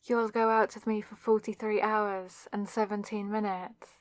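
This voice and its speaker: A woman's voice: mid-range voice, flat delivery, moderate pace, no room sound, lightly acted. A young woman playing a flustered, awkward student witch with a wry, self-deprecating manner in an ASMR roleplay, speaking straight to the listener. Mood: elated, shy. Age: young adult. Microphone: close microphone in a dry space.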